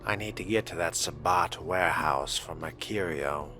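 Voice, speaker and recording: A man role-playing a video game character in a measured, musing, aloof and theatrical manner. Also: deep voice. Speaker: player voicing his vampire character. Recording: loud music behind the voice.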